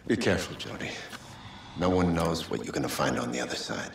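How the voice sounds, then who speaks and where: An actor playing an earnest middle-aged scientist in a dramatic video game, speaking with grave, pleading persuasion. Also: male voice, a bass voice, brisk, gravelly, slight background noise. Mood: hushed concern.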